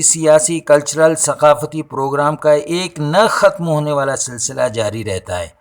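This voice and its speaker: A man delivers a scripted opinion monologue in formal language, with oratorical, deliberate phrasing. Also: a little high, a bit bright. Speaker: social and religious commentator.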